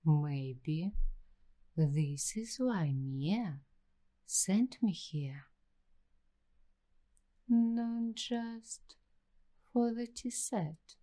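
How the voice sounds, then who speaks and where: Woman as very slow, low-voiced uneasy ASMR waitress. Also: expressive. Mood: uneasy wonder. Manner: hushed, halting delivery in short broken phrases with long pauses. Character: uneasy, suspicious young waitress fascinated by an eerie discovery. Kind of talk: slow ASMR roleplay, addressing the listener.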